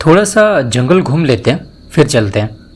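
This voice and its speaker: A man's voice: medium pitch, sing-song, moderate pace, a little hiss or hum, lightly acted. A man playing a wary, questioning young university student in an audio drama. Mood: uneasy.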